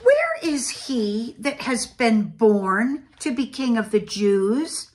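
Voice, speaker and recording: A woman's voice: a little high, very animated, slow, darkish tone, a little hiss or hum, voice pushed up. A woman voicing earnest travelling wise kings from a storybook, in an emphatic, stately manner. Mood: earnest, questioning.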